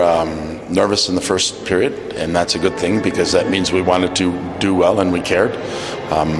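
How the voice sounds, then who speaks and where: A middle-aged man, a head coach, giving a measured, halting post-game interview into a handheld mic. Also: deep voice. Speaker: ice hockey head coach.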